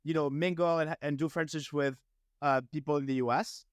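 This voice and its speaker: A man's voice: fairly high pitch, brisk, no room sound, clean studio sound. Upbeat young French-accented man. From France. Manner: upbeat, energetic, heavy use of "like". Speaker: guest entrepreneur. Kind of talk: casual interview conversation.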